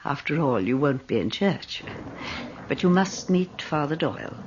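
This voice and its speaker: Commanding old gentlewoman for radio drama: deep-pitched, sweeping theatrical delivery, sly and imperious. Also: very animated. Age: older. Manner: theatrical, commanding, brisk dismissals. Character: imperious, devout old wealthy widow, sly and curious. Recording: dull, noisy old radio recording.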